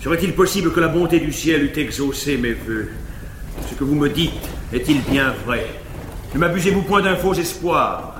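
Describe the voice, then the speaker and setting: A man's voice: fairly high pitch, expressive, normal speed, lightly acted. Man acting a solemn old noble father, echoey stage. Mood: moved, tearful joy. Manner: solemn, declamatory. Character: stern, grieving old nobleman father of wayward son. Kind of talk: classical French stage acting. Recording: echoey 1950s live theatre recording, noisy.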